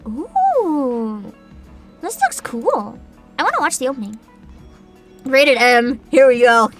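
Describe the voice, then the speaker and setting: A young woman livestreamer with a high, very animated voice that swings up and down as she talks. She chats casually to her viewers in an excitable, giggly, unfiltered way, with music playing behind her. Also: slow pace. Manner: excitable, giggly, informal and sweary. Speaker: livestream host, vtuber. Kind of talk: casual livestream chat and reactions. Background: background music.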